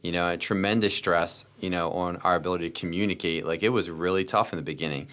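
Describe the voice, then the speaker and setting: Low-voiced, clear American man, earnest. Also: fairly even intonation, fast talker, fairly bright tone. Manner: earnest, repeats phrases for emphasis, frequent "you know". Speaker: interview guest, startup founder. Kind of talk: personal storytelling in a podcast interview.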